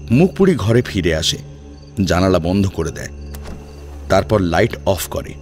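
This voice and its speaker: A man's voice: low-pitched, big pitch swings, measured pace, a little mellow. A man reading a scripted story as a dramatic narrator. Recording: music behind the voice.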